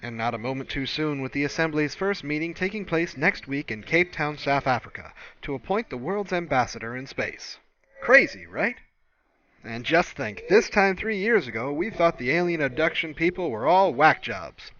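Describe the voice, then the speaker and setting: A man playing a loud, opinionated talk-radio host, ranting with punchy, indignant emphasis in bombastic DJ patter. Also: a little high, expressive, moderate pace, lo-fi sound. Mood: brash, indignant.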